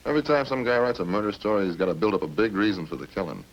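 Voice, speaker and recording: A man's voice: lowish voice, lively intonation, very fast, dull audio. A man playing a talkative, smug murder suspect in a radio crime drama, folksy and cagey as he casually needles the police. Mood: chatty, smug. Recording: hissy old radio recording.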